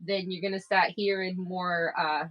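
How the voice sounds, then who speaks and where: A woman's voice: lowish voice, fairly even intonation, a little slow, no echo, lo-fi sound. A woman from Maine with a Maine accent, chatting casually and laughing as she sews during a live stream. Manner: chatty, laughs often, self-mocking asides; talks while working, moving around the table. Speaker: quilter streaming live sales.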